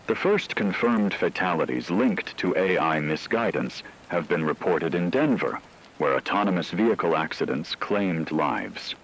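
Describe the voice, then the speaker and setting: A man voicing a grave radio news anchor, reading a scripted emergency broadcast in a formal, measured way. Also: deep voice, fairly bright tone, slightly rough, exaggerated voice, low-quality recording. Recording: radio-style broadcast with faint hiss, rain-like noise.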